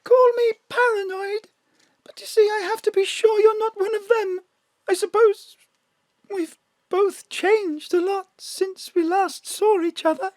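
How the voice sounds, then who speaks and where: A glamorous star in disguise, suspicious and tearful, as a performer plays her. The voice is extremely high and is recorded dry and close. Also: fairly even intonation, unhurried, a little mellow, no echo. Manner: suspicious and tense. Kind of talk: audio drama acting.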